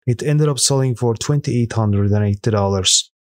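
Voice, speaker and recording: A man reading a scripted voice-over that counts down rare coins sold at auction, in a steady, even manner with an occasional slip. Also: mid-range voice, moderate pace. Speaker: narrator of a coin auction countdown. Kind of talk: scripted voice-over narration read aloud.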